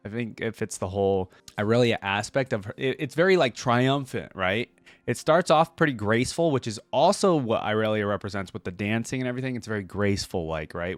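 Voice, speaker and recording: Male reaction host: medium pitch, enthusiastic and slangy, emphatic casual commentary, close dry recording. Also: no echo.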